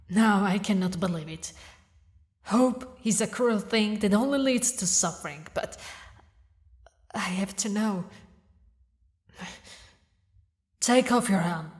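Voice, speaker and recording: A woman acts out a demon queen in a romantic audio drama. She speaks slowly at a middle pitch, with halting, dramatic phrasing that can sink into a breathy whisper. Her regal vengefulness carries deep grief and tenderness for a lost love. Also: natural voice. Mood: stern, disbelieving. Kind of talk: scripted romantic audio roleplay.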